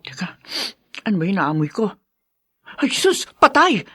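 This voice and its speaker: Radio drama role: flustered older housewife, anxious mother-in-law, bright voice, highly animated delivery. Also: measured pace, slightly rough, heavily acted. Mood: flustered muttering. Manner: exclaiming, fretful, muttering to herself.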